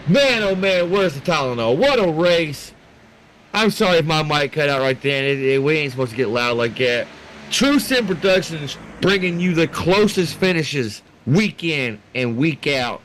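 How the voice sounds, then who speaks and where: Animated higher-voiced male race commentator. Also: unhurried, rough recording. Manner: animated, folksy, colloquial. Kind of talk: excited live sim racing play-by-play. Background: racing game vehicle noise.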